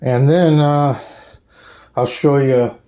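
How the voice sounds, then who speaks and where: A man hosting a casual off-the-cuff hobby tour at home, talking in a halting, plain-spoken, rambling way. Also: mid-range voice, steady tone, dark tone. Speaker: hobbyist host giving a radio gear tour. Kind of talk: casual off-the-cuff show-and-tell vlog. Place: small room at home.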